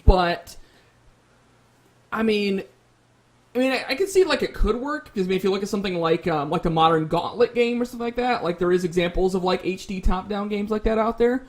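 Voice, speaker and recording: A man's voice: high voice, brisk. A man moderating a casual panel discussion, working through his points with hedges and restarts.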